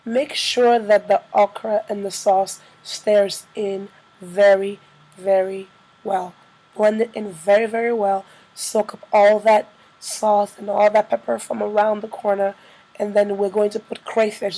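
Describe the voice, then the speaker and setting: Slightly hoarse woman, creaky voice. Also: medium pitch, mellow, low-quality recording. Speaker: cooking vlogger. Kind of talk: casual narrated cooking tutorial.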